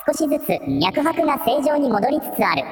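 A woman's voice: sits high, big pitch swings, moderate pace. A woman voicing a dutiful, analytical robot assistant who speaks in a matter-of-fact way in a radio drama. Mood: clinical. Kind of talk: Japanese radio drama acting. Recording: echoey long reverb, music behind.

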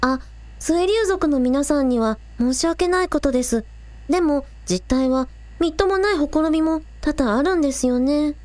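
A spirited young village idol, played by a woman in a radio drama. Her voice is very high and recorded dry. She is earnest and polite, turning breezy among friends. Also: normal speed, a little mellow, no room sound, lightly acted. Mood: troubled.

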